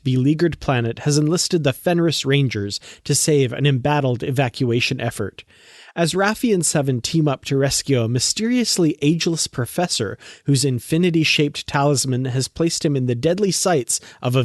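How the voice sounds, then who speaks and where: A man's voice: medium pitch, no echo, clean studio sound. A man speaking as the co-host of a podcast. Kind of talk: casual podcast chat.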